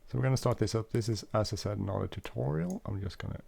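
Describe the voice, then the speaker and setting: A man's voice: fairly low pitch, fast, creaky, darkish tone, husky. A man who is not a native English speaker, casually walking through a flight simulator, hesitant with many fillers and self-corrections. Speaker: flight sim video host. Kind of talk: casual gameplay walkthrough.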